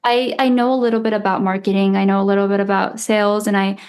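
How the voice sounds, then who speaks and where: A woman's voice: medium pitch, level tone, fast, darkish tone, clean tone. A woman in her twenties whose first language is Japanese, a podcast guest chatting casually with plenty of "like" and "um". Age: 20s. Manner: chatty, lots of "like" and "um", laughs lightly. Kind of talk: casual interview chat.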